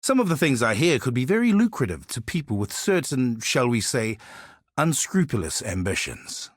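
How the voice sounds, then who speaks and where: An actor playing a sly, world-weary male barber and informant in a video game, husky and wry in his phrasing. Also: medium pitch, very animated, moderate pace, no echo, clean studio sound. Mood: sly, insinuating. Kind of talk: scripted video game character dialogue.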